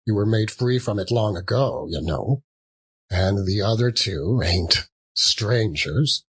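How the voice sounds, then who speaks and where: A man's voice: lowish voice, expressive, slow, breathy edge, exaggerated voice. A man voicing a grey-haired old rascal of a junk dealer, sly and familiar, in a storybook reading. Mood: sly, welcoming. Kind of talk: theatrical Victorian storybook reading.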